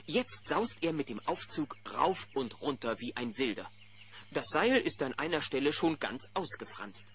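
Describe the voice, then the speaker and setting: A man narrates a children's audio play with hugely animated, swooping intonation. His delivery is that of a scripted storyteller. The old cassette recording has clear noise. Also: on the high side, moderate pace.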